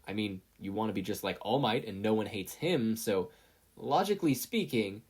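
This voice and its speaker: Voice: mid-range voice, lively intonation, moderate pace, a little mellow, husky. A narrator voicing a nagging inner conscience that lectures in a dry, smug manner.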